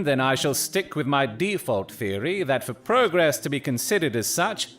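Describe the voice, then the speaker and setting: An actor playing a coolly analytical Victorian gentleman detective in an audio drama, speaking precisely and formally with dry authority. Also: medium pitch, lightly acted. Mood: dryly inquisitive. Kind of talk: period audio drama acting.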